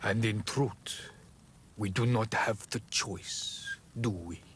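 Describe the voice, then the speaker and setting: A very slow, solemn island warrior. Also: male voice, on the low side, fairly bright tone, slight background noise. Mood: grudging, grave. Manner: deliberate, solemn, ceremonial. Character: solemn, proud native island warrior, grudgingly loyal. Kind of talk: video game cutscene dialogue acting.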